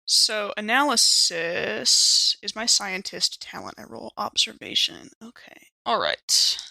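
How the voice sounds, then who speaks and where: A woman's voice: mid-range voice, slow pace, creaky, bright, forceful tone, no echo, rough recording. A woman chatting casually as a player in a tabletop role-playing game.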